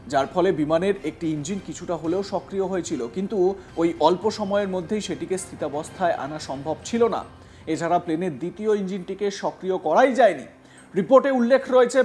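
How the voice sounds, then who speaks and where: A man presenting news narration and commentary, speaking emphatically in deliberate chunks. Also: sits high, a little mellow, a little hiss or hum.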